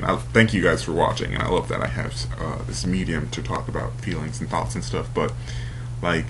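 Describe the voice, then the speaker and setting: A young man with a low, deep voice talks to his camera at home in a loose, confessional way. He wanders through his thoughts with plenty of ums and restarts, and a faint hum sits under the recording. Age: young adult. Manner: rambling, hesitant, many fillers and restarts. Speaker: vlogger. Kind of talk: casual confessional vlog.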